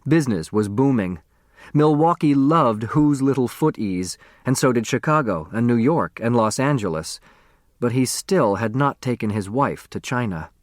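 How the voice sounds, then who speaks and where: A man giving an expressive scripted narration of a novel as an audiobook narrator. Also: mid-range voice, normal speed, no echo, clean studio sound.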